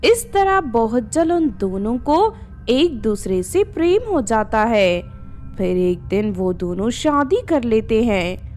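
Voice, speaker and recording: A woman's voice: fairly high pitch, sing-song, takes it slowly, darkish tone, soft background music. A woman narrating a scripted children's story as its storyteller.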